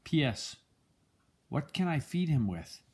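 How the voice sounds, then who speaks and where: An older man with a husky voice reads a children's picture book aloud in a gentle, measured storytelling manner. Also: on the high side, very animated, slight background noise. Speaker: storybook reader, a grandfather.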